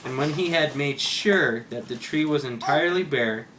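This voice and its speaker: A man reading a children's story aloud with expressive emphasis. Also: fairly high pitch, normal speed. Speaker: story reader. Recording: very noisy home recording with clicks.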